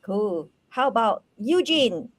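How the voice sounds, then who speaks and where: A woman from Singapore hosting an online panel over a video call, bubbly and quick to laugh. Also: mid-range voice, very animated. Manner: bubbly, laughs between words. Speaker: panel host.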